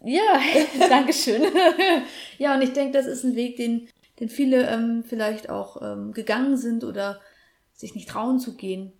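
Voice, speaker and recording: A middle-aged woman co-hosting a casual podcast chat, telling her story in a reflective, hesitant way with many pauses and fillers. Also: on the high side. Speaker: podcast co-host.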